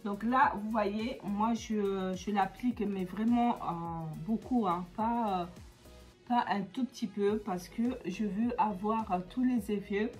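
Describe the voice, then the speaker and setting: A woman with a cold-stuffed, nasal voice talks her viewers through a beauty routine in a loose, chatty way, often pausing on fillers. Her pitch sits in an ordinary middle range. Faint music plays softly behind her. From Comoros. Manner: chatty, many hesitations. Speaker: beauty vlogger. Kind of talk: casual how-to vlog.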